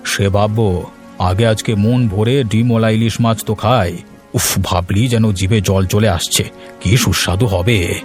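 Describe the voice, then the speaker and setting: A man voicing a stern, commanding older village headman in a cartoon, speaking gruffly. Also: fairly low pitch, steady tone, a little slow, soft background music, pitched below the performer's own voice, lightly acted. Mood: greedy. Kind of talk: cartoon voice acting.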